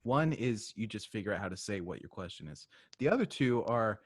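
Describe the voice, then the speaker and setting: A man's voice: on the low side, lively intonation, fairly quick, no room sound. Dry, teasing man on a podcast. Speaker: podcast co-host. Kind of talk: casual podcast chat.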